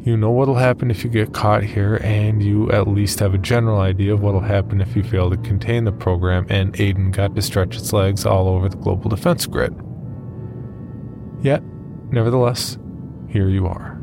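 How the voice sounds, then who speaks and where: A man's voice: lowish voice, fairly even intonation, normal speed, vocal fry, over music. A man playing a grave, sardonic computer scientist in a horror audio drama, formal and emphatic. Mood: stern, sardonic.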